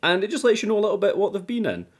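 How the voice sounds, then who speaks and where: A man's voice: sits high, sing-song, rapid-fire. Chatty man recapping a movie. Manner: chatty, informal, swears casually. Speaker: YouTube movie reviewer. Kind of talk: casual scripted movie recap commentary.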